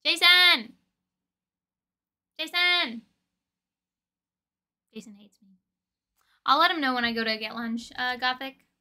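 A young woman hosting a livestream, chatting casually with viewers in a playful, slangy, expressive way. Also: high voice, takes it slowly, long pauses. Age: young adult. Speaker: livestream host. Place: indoor room with desk and shelves of collectibles.

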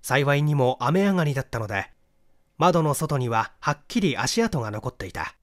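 A man's voice: mid-range voice, sing-song, moderate pace. A man reading a mystery novel aloud as scripted literary narration. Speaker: audiobook reader.

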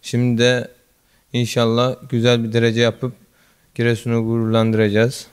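A teenage student athlete giving brief, modest answers in a school office, speaking into a handheld mic. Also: male voice, medium pitch, completely flat delivery, moderate pace, clean tone. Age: teens. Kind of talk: interview answers. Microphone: handheld mic held by interviewer.

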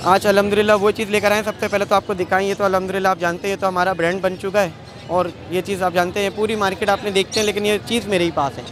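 A young man, a bird seller, pitching his birds in eager, quick patter to a vlogger at an outdoor market on a handheld mic. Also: level tone. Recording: noisy crowded outdoor market. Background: crowd chatter.

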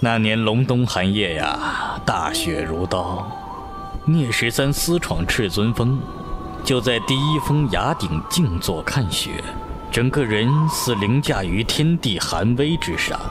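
A man plays an urbane, middle-aged sword master in a Chinese wuxia radio play. His voice is low and swings expressively, with an avuncular, measured delivery over music. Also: lively intonation. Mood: reflective. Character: seasoned middle-aged male martial-arts master, urbane and self-reproaching. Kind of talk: Chinese wuxia audio drama acting.

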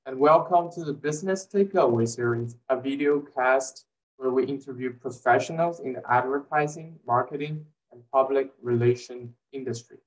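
A man's voice: medium pitch, normal speed, far from the mic. Young male host in an echoey room. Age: young adult. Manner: polite, a little hesitant with questions. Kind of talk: scripted interview hosting. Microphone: video call.

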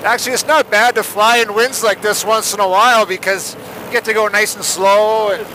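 A man's voice: sits high, steady tone, brisk. A man chatting casually as a pilot over a crackly helmet intercom while flying outdoors. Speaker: fellow paramotor pilot. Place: outdoors, in flight. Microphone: helmet headset intercom. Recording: crackly intercom with engine noise.

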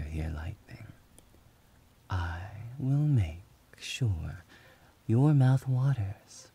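A tender, devoted young servant, played by a man in an ASMR roleplay, murmuring in a very deep, mellow voice. He drifts into soft whispers and speaks at an unhurried, lingering pace, soothing and affectionate throughout. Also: husky, full character voice.

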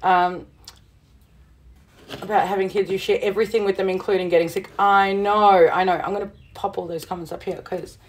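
A middle-aged woman with a slightly husky voice, chatting casually to viewers on her livestream from a cottage room, bubbly and full of fillers. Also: mid-range voice, steady tone, moderate pace. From Southern Hemisphere. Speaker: witchcraft livestream host. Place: witchy cottage room indoors.

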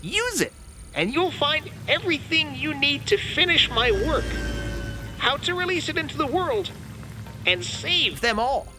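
A man's voice: extremely high pitch, big pitch swings, unhurried, music in the background, heavily acted, rough recording. A man voicing a loving middle-aged inventor father, tender and earnest in a recorded message.

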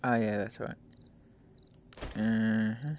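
Slow-talking man muttering over a game stream. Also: on the low side, level tone. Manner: halting, short phrases, mumbling to himself. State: frequent coughing. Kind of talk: casual live gameplay commentary. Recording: noisy home stream microphone.

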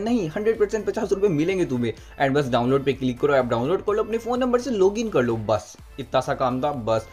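A man's voice: a little high, darkish tone. A young man doing a chatty, persuasive gaming tutorial voice-over, talking to viewers like buddies. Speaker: gaming video creator. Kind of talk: casual tutorial voice-over mixing Hindi and English. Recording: music behind the voice. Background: background music.

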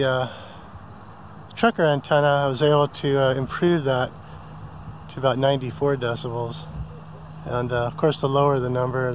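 A man's voice: fairly high pitch, darkish tone, a little hiss or hum. A man casually vlogging outdoors at a riverside campsite, filming with a handheld phone and rambling with many ums and self-corrections. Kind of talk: casual how-to vlog. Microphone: handheld phone camera.

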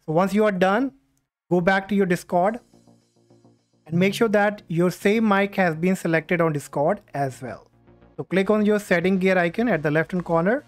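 A man hosting a step-by-step software tutorial, speaking into a USB condenser microphone. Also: high-pitched. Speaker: tutorial host. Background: music.

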